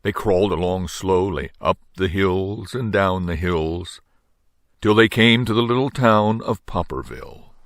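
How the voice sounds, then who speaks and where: Deep-voiced older man reading a storybook. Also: a little slow, a bit bright, dry recording, studio quality. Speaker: storybook reader. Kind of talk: children's story read-aloud.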